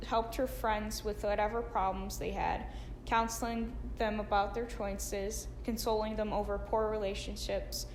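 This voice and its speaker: Woman reading aloud in an echoey, noisy room. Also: medium pitch. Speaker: narrator reading a memorial tribute. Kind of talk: read-aloud scripted narration. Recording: roomy echo with clear background noise.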